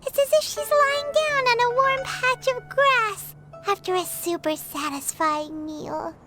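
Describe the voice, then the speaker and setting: A woman voicing a tiny, bubbly female fairy companion in a video game, with a squeaky, childlike voice. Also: a little slow, over music. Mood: dreamy. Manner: excitable, refers to herself by name.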